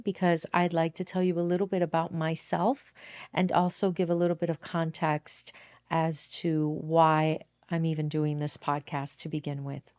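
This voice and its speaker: A woman's voice: fairly low pitch, darkish tone. A woman in her fifties from Miami hosting her own podcast, telling her life story in an earnest, reflective way with frequent fillers and self-corrections. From Miami, Florida, United States. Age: 50s. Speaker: podcast host. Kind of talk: personal storytelling monologue.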